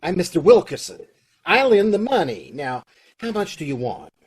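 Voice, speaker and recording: A man playing a flustered middle-aged loan officer in a radio comedy, exasperated, stammering and repeating himself. His pitch swings widely and the tone is a bit husky. The audio comes over a video call and drifts near and far. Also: very animated, moderate pace, full character voice. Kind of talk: old-time radio comedy acting.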